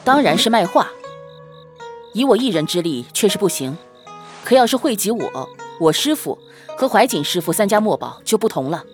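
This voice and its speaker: A woman playing a courteous, resolute young gentleman painter in a Chinese period audio drama. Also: medium pitch, sing-song, moderate pace, lightly acted. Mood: resolute, caring. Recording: music behind the voice.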